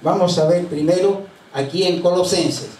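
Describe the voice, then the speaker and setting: An older man from Chile, preaching a sermon as a pastor and telling anecdotes in an unhurried way with frequent hesitations. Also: high voice, a little mellow, slight background noise. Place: church sanctuary. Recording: roomy church sound from a distant mic.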